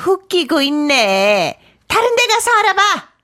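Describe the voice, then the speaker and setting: A woman's voice: very high-pitched, huge pitch swings, quick pace, fairly bright tone, voice pushed up, exaggerated voice. A woman voicing a scolding, scornful nursemaid in a storybook, brusque in manner. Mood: scornful, threatening. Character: scolding, scornful nursemaid guarding her household. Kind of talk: storybook character voice.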